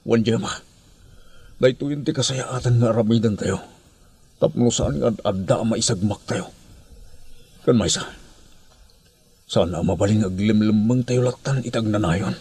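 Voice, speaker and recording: A man acting a subdued, gravelly, weary fugitive. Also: lowish voice, normal speed, pauses often, darkish tone. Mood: resigned. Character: weary, remorseful male fugitive urging surrender. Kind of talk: amateur Ilocano audio drama acting. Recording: faint background noise.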